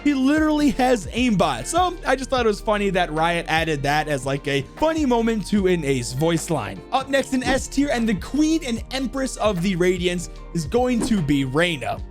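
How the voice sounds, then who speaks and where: A high-pitched male host gives casual, enthusiastic commentary on a video game ranking. He rambles along in loose slang, with faint music behind him. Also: big pitch swings, moderate pace, few pauses. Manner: rambling, uses lots of slang.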